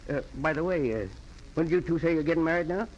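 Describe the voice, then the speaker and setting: A man's voice: fairly high pitch, quick pace, darkish tone, lo-fi sound, lightly acted. A man playing a folksy, blustering old rancher uncle with a rustic drawl and a rambling, eager manner, in 1940s radio drama style. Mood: brisk, rambling. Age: older. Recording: noisy 1940s radio recording.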